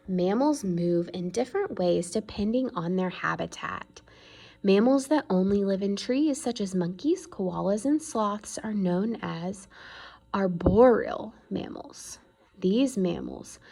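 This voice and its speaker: A woman's voice: medium pitch, vocal fry, dark tone. A woman reading an educational children's book aloud in an explanatory way, stressing key words. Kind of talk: read-aloud educational narration.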